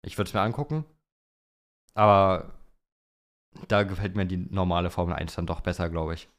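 A man's voice: low voice, fairly even intonation, normal speed. A man co-hosting a casual motorsport chat, speaking informally and repeating points for emphasis. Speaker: podcast co-host.

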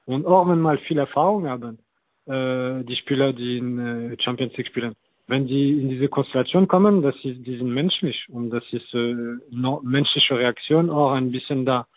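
A man in his thirties, a non-native German speaker, talking as a football coach guest with halting, hesitant phrasing. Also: mid-range voice, no room sound. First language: not German. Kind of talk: casual interview talk. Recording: tinny phone-like line, very dry.

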